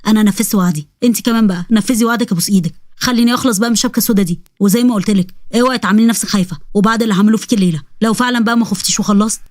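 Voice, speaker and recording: A woman voicing a male jinn spirit with a thick, low voice and an Egyptian accent, in a storybook tale. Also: racing, few pauses, a little mellow, no echo, clean studio sound, natural voice. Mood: teasing. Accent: Egyptian Arabic.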